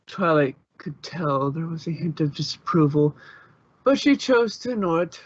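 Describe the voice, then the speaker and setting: A man narrates a fanfiction story in an informal reading style. His voice sits on the high side for a man. The recording is slightly dull and lo-fi. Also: expressive, moderate pace, dry recording.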